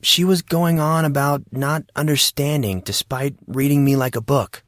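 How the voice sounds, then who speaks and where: A man playing a guarded, awkward and sarcastic teenage boy in an audio drama, answering evasively and a little haltingly. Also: medium pitch, fairly even intonation, a bit bright, no echo, studio quality, close to an everyday voice. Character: guarded, sarcastic fourteen-year-old boy, awkward and exasperated. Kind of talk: audio drama character dialogue.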